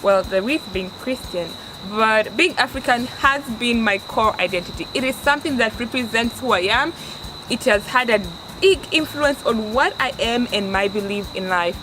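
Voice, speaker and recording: Young Tanzanian-accented woman in her twenties, earnest vlog advice, voice a little high, steady noise underneath. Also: moderate pace. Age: 20s. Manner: earnest, restarts often, stresses words for emphasis. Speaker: vlogger.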